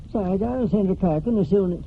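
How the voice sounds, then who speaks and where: A grudging country skinflint in an old radio drama. His rural American voice is highish and gravelly, full of folksy grumbling, heard through a tinny vintage recording. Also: fairly quick, slight background noise. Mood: grumbling, evasive. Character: grudging, tightfisted eldest brother of a rural Maine family. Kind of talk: 1940s radio drama acting. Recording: tinny 1949 radio recording, music behind.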